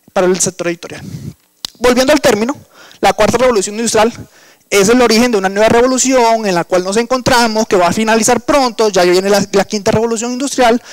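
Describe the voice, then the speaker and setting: A man's voice: high-pitched, no echo, low-quality recording. A young man with a coastal Colombian accent, teaching a workshop in an explanatory lecture style with frequent fillers and restarts. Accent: Colombian Caribbean coastal Spanish. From Colombian Caribbean coast. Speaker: workshop instructor. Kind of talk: online lecture.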